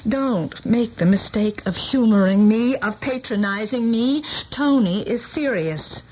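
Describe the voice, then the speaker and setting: A woman's voice: fairly high pitch, animated, unhurried. An actress playing an earnest, insistent idealistic woman in a radio drama, speaking articulate American English with dramatic delivery. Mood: urgent, pleading. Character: earnest, intense idealistic woman, a former student. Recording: noisy old radio broadcast.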